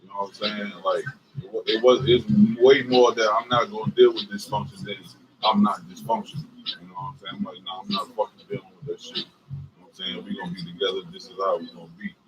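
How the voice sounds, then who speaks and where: A man with a deep voice and a Southern US accent, co-hosting a couples livestream and talking bluntly with lots of swearing and fillers. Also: fairly even intonation, fast talker, dull audio, a crowd in the background. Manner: profane and emphatic, many fillers. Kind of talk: casual livestream chat.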